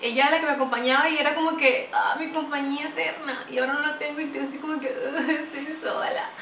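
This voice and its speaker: A young woman from Venezuela with a Venezuelan accent, chatting to camera in a lively, talkative way, recorded in a small bright room. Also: high-pitched, quick pace, far from the mic, slight background noise. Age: young adult. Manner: chatty, animated, gestures a lot. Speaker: vlogger. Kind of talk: casual chat to camera. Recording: roomy echo, voice a bit off-mic.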